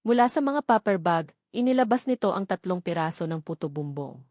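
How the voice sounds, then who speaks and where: A woman's voice: fairly low pitch, lively intonation, brisk, darkish tone, dry recording, clean studio sound. A woman narrating a scripted dramatic story as an audiobook narrator.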